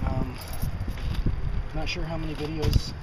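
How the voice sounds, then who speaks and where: Casual male vlogger, thirties, slightly higher voice, laid-back and rambling, phone-camera sound with loud background noise. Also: flat delivery, measured pace. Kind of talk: casual vlog chat. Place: grocery store, then inside a car at night. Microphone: handheld camera at arm's length.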